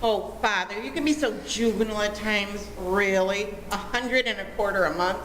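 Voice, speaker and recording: An amateur actress playing an indignant, lofty young daughter in an old-time radio comedy, prim and wordy. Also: female voice, mid-range voice, normal speed, fairly bright tone, natural voice. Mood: exasperated. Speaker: amateur actor. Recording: echoey hall, faint noise.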